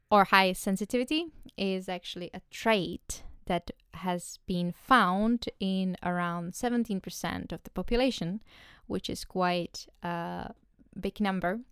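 A young woman from the Czech Republic with a Czech accent, hosting her own podcast, speaking English as a second language with many pauses and restarts. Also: medium pitch, clear, resonant tone, no echo, studio quality. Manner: hesitant, many ums and restarts. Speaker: podcast host. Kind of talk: solo podcast monologue.

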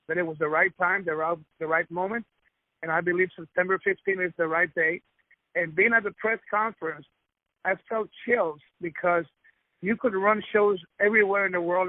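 Brief: wrestling promoter from Ecuador, proud, measured, dry phone audio. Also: male voice, high voice, no room sound. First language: Spanish. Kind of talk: phone interview. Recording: tinny phone line.

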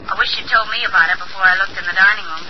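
A woman's voice: mid-range voice, fairly even intonation, rapid-fire, natural voice. An actress playing an affectionate, anxious young girlfriend, earnest and coaxing, in an old-time radio drama. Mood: curious, affectionate. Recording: muffled, noisy vintage radio recording.

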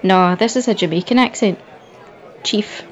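A woman's voice: medium pitch, moderate pace, lo-fi sound, some chatter behind, natural voice. A woman with a Scottish accent playing a brash, cheeky, foul-mouthed young woman with a sarcastic manner. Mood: teasing.

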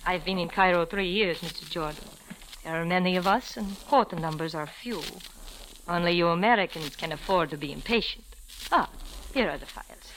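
Woman playing a shy foreign secretary in a 1940s radio play, lowish voice, careful formal wording, bit of hiss. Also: moderate pace, fairly bright tone, natural voice. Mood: wistful, polite. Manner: hesitant, formal, avoids contractions. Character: timid, polite foreign young woman, consulate secretary. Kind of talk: 1940s radio drama acting. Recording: faintly noisy old radio recording.

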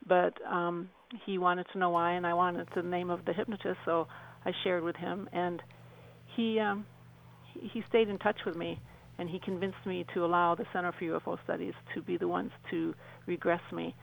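A woman giving an earnest, halting personal account as a guest on a radio interview. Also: lowish voice, little pitch movement, vocal fry, a little hiss or hum, rough recording.

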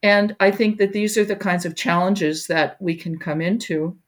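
An older woman with a low voice talks through her thoughts in an interview. She pauses often with fillers and restarts her sentences. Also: steady tone. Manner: reflective, many fillers and restarts. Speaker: guest, education researcher. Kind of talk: conversational interview.

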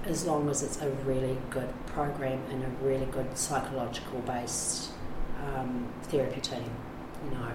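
A woman's voice: extremely low pitch, little pitch movement, moderate pace, few pauses. A middle-aged woman, talking frankly about addiction recovery in an interview, full of fillers and restarts. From New Zealand. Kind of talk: candid interview talk. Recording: echoey room with background noise.

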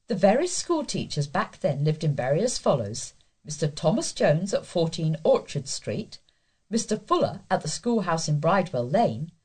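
A woman reads scripted local news as a volunteer reader. Her voice is fairly low and noticeably husky. The intonation moves up and down in a lively, animated way.

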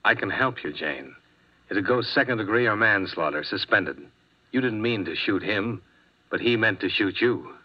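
An American actor playing a weary, wry insurance investigator in a 1950s radio drama, speaking in a terse, dry way. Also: male voice, fairly low pitch, lively intonation, normal speed. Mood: gentle, steady. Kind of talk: 1950s radio drama acting with first-person narration. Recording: dull vintage 1950s radio recording.

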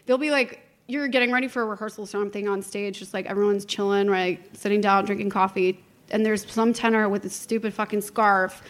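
A woman's voice: medium pitch, steady tone, brisk. Young woman opera singer on a podcast, laughs a lot, pokes fun at her own kind. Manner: laughing, candid, self-mocking. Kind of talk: casual chat.

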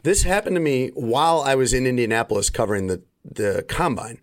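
A man's voice: medium pitch, expressive, a little fast. Chatty male podcast host, rambling. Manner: rambling storyteller, frequent fillers. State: recovering voice, slightly limited range. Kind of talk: casual podcast chat.

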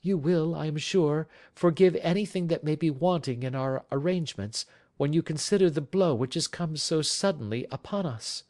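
A man's voice: a little high, moderate pace, few pauses, a little mellow, pitched above the performer's own voice, lightly acted. A male audiobook reader voicing an anxious, earnest Victorian wife who asks pleading, insistent questions. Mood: gracious.

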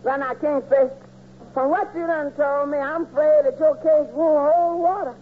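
An actor playing a sly, pompous, bribe-taking arbitrator in 1940s radio comedy style. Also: male voice, very high voice, steady tone, moderate pace. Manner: pompous mock-legal talk. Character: crooked, bribe-taking male arbitrator, mock-solemn and sly. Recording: scratchy, narrow old radio recording, hum.

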